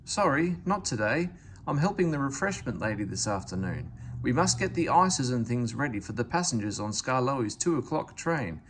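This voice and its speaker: A man voicing a brisk, bossy young girl who scolds and teases in a storybook reading. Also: medium pitch, very animated, fairly bright tone, a little hiss or hum. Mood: brisk, kindly. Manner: scolding, teasing.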